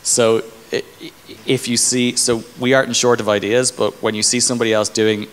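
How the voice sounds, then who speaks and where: A man's voice: mid-range voice, little pitch movement, fairly quick. A man in his thirties explaining things informally in a conference Q&A, often hedging with "like" and "kind of". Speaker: tech company engineering leader being interviewed. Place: indoor conference stage. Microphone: handheld microphone. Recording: echoey hall, clear background room noise.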